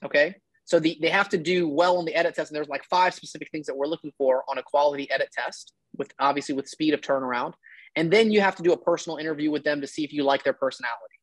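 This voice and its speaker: A man's voice: on the high side, steady tone, fast talker, no room sound, low-quality recording. A man in his thirties giving casual, emphatic and blunt advice as a coach on a one-on-one video call. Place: indoor room, video call.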